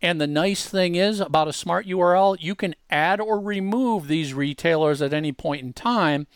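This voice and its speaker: A man's voice: high voice, moderate pace, clean tone, no room sound, clean studio sound. A man presenting a casual tutorial, explaining step by step in a conversational way with emphatic asides. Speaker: presenter demonstrating a web tool.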